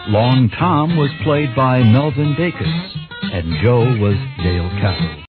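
Animated old-radio male announcer. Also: mid-range voice, slow. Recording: muffled old radio recording with music.

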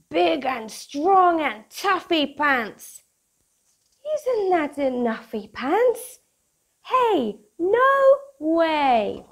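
A British woman in her thirties presenting for young children in a playful, expressive, sing-song manner, as if hosting a picture-book club. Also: high-pitched. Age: 30s. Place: small room at home, indoors. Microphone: lapel mic.

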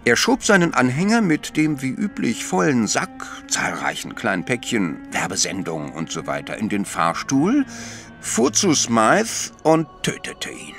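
An actor playing an astute, patient priest detective in a German audio drama, explaining deliberately with gentle chiding. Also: male voice, medium pitch, wildly swooping pitch, soft background music, exaggerated voice.

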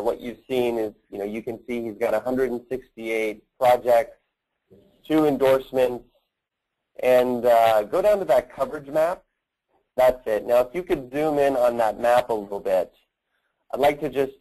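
A man presents a product walkthrough as a company representative on a conference call. His pitch is average, and he often pauses partway through a phrase. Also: mellow, poor audio.

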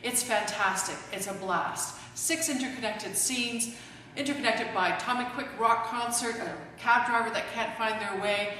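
A woman's voice: on the high side, moderate pace, bright, forceful tone. A middle-aged woman, a theatre producer, giving a promotional announcement to camera. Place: large indoor stage set, seen to camera. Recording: echoey hall, distant mic, faint noise.

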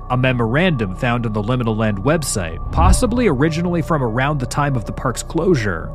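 A man delivers scripted horror narration as a host. His pitch moves in a lively, expressive way, giving the storytelling an ominous, dramatic feel. Music plays clearly underneath his voice. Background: background music.

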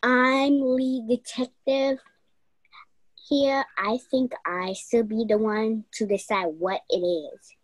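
A woman's voice: a little high, fairly even intonation, slow pace, no echo. A young woman playing a self-important, competitive female detective in a radio mystery play.

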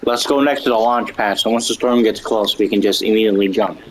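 Excited man chatting over gameplay. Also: lowish voice, a little hiss or hum, poor audio. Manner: excited, quick reactions. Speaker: gamer playing with friends. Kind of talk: casual gameplay chat.

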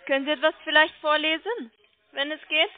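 A woman telemarketer making a sales pitch over the phone, persistent and polite, coaxing with check-back questions. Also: fairly quick. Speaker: telemarketing caller selling online trading. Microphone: telephone call. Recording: muffled phone line.

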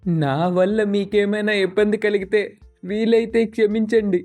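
A woman voicing a cheeky, flirtatious male pet dog with cocky, rustic speech in an animated story. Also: medium pitch, moderate pace, soft background music, close to an everyday voice. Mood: wounded pride. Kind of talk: animated moral story voice acting.

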